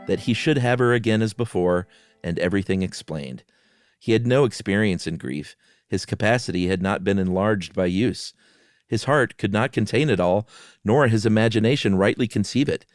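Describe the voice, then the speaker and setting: A man with a fairly low voice co-hosts a podcast, reading a horror tale aloud and chatting casually about it. Soft guitar music plays faintly behind him. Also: expressive, normal speed, no room sound, clean studio sound. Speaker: podcast co-host. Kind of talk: dramatic reading of a horror short story with casual asides.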